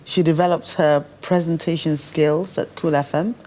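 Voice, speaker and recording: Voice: medium pitch, fairly even intonation, normal speed, a little mellow, rough recording. A television co-host, presenting and interviewing in a conversational style. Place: television studio.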